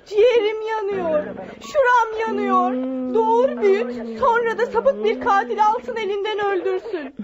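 A woman's voice: very high-pitched, a little slow, few pauses, over a noisy crowd. An actress playing a grief-stricken mother, wailing and tearful, in a radio drama. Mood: anguished. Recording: muffled radio drama, loud background voices.

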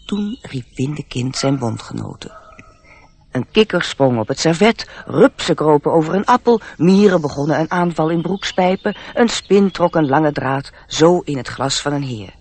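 A man's voice: fairly high pitch, expressive, moderate pace, a little mellow, husky. A man narrating a radio play in a scripted, literary storytelling style.

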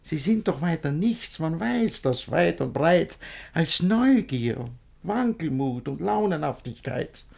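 An actor playing a jealous, possessive older guardian in a classic radio play, declaiming verse theatrically. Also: male voice, fairly high pitch, very animated, slow pace, vocal fry, darkish tone, heavily acted. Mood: resigned, lovesick.

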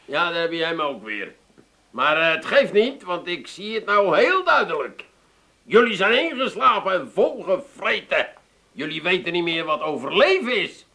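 A stubborn, self-important man in a radio comedy. His voice is extremely high and sharp, and he talks with offended defiance. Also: sing-song, moderate pace, full character voice. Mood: pompous. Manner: defiant, pompous. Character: stubborn, offended, grandiose brother-in-law. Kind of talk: 1980s radio comedy acting.

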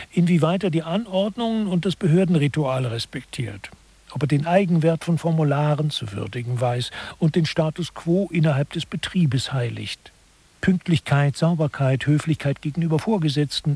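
Husky higher-pitched male lecturer. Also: animated, moderate pace, darkish tone, no echo. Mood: sober, explaining. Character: male co-lecturer soberly expounding satirical management theory. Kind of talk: scripted satirical lecture in a radio play.

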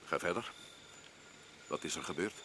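A man playing a deep-voiced, stern expedition leader. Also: normal speed, noisy background, natural voice. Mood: probing. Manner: probing, commanding. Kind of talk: radio play acting.

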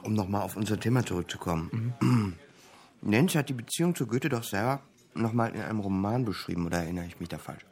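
A man's voice: on the low side, lively intonation, fairly quick, a little mellow. An actor playing a sceptical, probing man in a naturalistic radio drama, conversational and a little hesitant.

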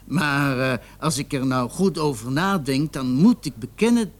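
A man's voice: mid-range voice, lively intonation, unhurried, close to an everyday voice. An actor playing a stubborn young male suspect in a radio drama, insisting under interrogation and sometimes stumbling over his words. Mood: reasoning, careful. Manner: insistent, hesitating and repeating himself when pressed.

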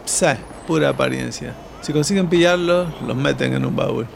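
A man with an accent from Spain and a gravelly voice plays a streetwise, cynical, laid-back local in an audio drama. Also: medium pitch, moderate pace. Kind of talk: Spanish audio drama acting. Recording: noisy city street with sirens.

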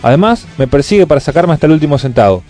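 A man's voice: fairly high pitch, expressive, racing, dark tone, faint music behind, natural voice. A man playing a stingy, self-righteous Buenos Aires businessman, indignant and blustering, in a comic radio play. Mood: indignant, griping. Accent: Buenos Aires Argentine. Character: grumpy stingy scheming middle-class businessman, self-righteous.

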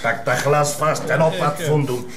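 An actor playing a domineering prison bully with a mocking, pushy Flemish delivery in a naturalistic TV drama. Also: male voice, fairly high pitch, normal speed, over a noisy crowd, close to an everyday voice.

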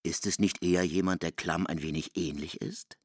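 K., deep gravelly, probing man. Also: steady tone, a little mellow, dry recording, studio quality. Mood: sceptical, musing. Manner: deliberate, analytical, emphatic. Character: K., probing, self-assured man reasoning insistently, also narrating. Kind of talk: literary radio play acting and narration. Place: dry studio.

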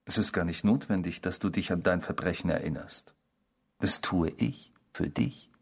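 A man's voice: sits low, moderate pace, gravelly, breathy edge, exaggerated voice. An actor playing a man's cruel, taunting inner self in a German radio drama, speaking in an insinuating, theatrical, mocking way. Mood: softly menacing.

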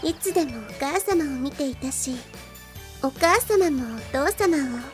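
Affectionate, courteous young girl: very high-pitched, gentle, for anime audio drama. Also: expressive, a little slow, faint music behind. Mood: tender. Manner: courteous, gentle formal speech. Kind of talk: anime-style Japanese audio drama acting.

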